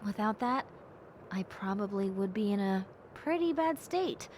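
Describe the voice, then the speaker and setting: A woman voicing a gentle, cheerful young bat girl in an intimate audio roleplay, speaking earnestly and reflectively to the listener. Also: medium pitch, expressive, slight background noise, natural voice. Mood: grateful, warm. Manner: soft-hearted, earnest, reflective, unhurried.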